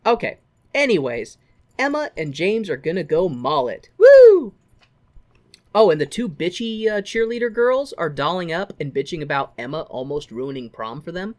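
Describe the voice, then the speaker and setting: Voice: mid-range voice, very animated, normal speed, darkish tone. A podcast co-host chatting casually and recapping a story in an irreverent, profane, jokey way with quoted lines thrown in.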